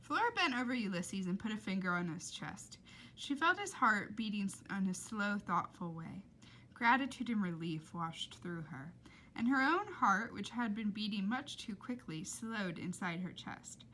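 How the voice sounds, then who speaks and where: A woman reading a children's novel aloud in a dramatic, expressive storytelling style. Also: medium pitch, normal speed, slight background noise, rough recording. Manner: dramatic, with emphatic character voices.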